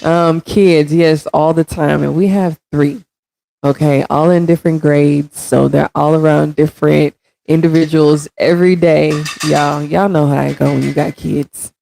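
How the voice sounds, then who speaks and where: A congested woman in her thirties with a raspy, gravelly throat hosts a relaxed livestream, talking straight to her viewers. Her voice sits low and mellow, and she takes her time. The sound is dry and close. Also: measured pace, dry recording, poor audio. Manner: chatty, addresses viewers directly, reads comments aloud. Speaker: stream host. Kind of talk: casual livestream chat.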